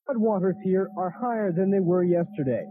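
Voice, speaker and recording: A man with a slightly high voice reads a scripted news report. The sound is narrow and phone-like, with faint noise, like archival news audio. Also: a little fast.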